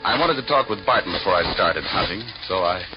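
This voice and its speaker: An actor playing a wisecracking American private detective in 1940s radio style, narrating and talking with dry flippancy. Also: male voice, low-pitched, animated, a little fast. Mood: dry, hardboiled. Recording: dull old radio recording, music behind.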